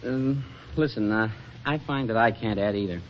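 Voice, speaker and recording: An actor playing a put-upon, good-humored husband with dry, sardonic delivery in a 1940s radio comedy. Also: male voice, lowish voice, moderate pace, natural voice. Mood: distracted, dry. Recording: muffled, noisy 1940s radio recording.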